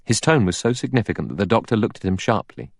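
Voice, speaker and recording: Low voiced guy narrating a novel, dry sound with no room on it. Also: sing-song, fast, dry recording. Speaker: audiobook narrator.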